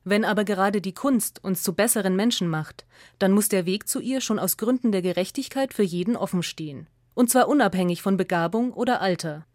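A woman's voice: mid-range voice, fairly quick, a little mellow, no room sound. Woman reading a radio essay, dry studio sound. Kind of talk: scripted radio essay narration.

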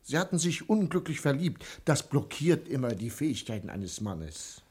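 An actor playing a gloomy, nervous banker facing ruin, formal and easily flustered, in 1960s German radio drama style. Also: male voice, mid-range voice, big pitch swings, moderate pace, darkish tone, slightly rough. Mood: resigned.

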